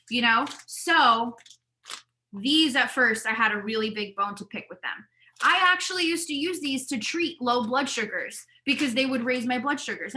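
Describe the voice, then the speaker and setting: Woman vlogger, voice fading, rambly and chatty, bright tone. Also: on the high side, a little hiss or hum. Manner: rambling, talkative, animated asides. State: losing her voice. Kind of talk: casual chatty product review.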